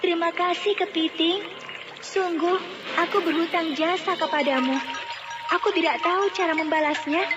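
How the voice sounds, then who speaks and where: A woman's voice: very high voice, fairly even intonation, normal speed, pitched above the performer's own voice. A woman voicing a humble, grateful young castaway woman in a fairy tale, earnest and deferential. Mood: humbly grateful. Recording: dull lo-fi audio, music bed.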